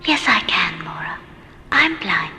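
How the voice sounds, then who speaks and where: An actress playing a serene, mystical alien woman in a science fiction radio drama, speaking solemnly with a breathy, hushed voice. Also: fairly low pitch, sing-song, full character voice. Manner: solemn, measured. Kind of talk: old-time radio science fiction acting. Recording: long hall reverb, music behind.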